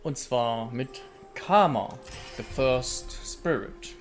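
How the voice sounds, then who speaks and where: A man's voice: medium pitch, animated, slow, faint music behind. A man who is a native German speaker, commenting on a game and reading its narration aloud. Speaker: gaming YouTuber.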